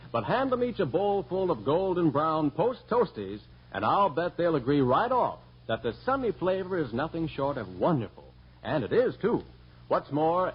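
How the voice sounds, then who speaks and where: An American man as a radio announcer, giving a folksy 1940s-style commercial sales pitch. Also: slightly rough. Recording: muffled, noisy 1940s radio recording.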